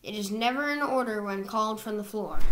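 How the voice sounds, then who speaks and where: A woman reading procedural rules from slides in a measured, scripted narration. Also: medium pitch, a bit bright, slight background noise, poor audio.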